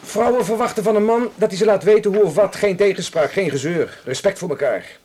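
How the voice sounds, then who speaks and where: An actor in a radio drama playing a grumpy, opinionated, old-fashioned police officer, blunt and coarse in manner. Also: male voice, sits high, a little fast, a little mellow, husky. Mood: opinionated, irritated.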